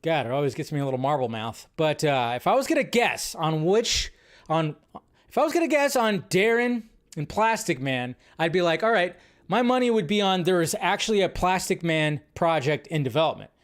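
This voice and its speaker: A man's voice: a little high, very animated, normal speed. A man hosting a live stream, chatting casually and thinking aloud as he goes, with frequent restarts. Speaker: host.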